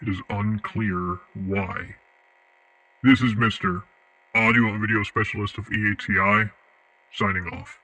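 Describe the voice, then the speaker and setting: A man's voice: lowish voice, very animated, a little slow, bright, forceful tone, heavily acted, low-quality recording. A man playing an investigator who gives a formal, matter-of-fact case report in an audio drama. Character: official male investigator, audio and video specialist, reporting.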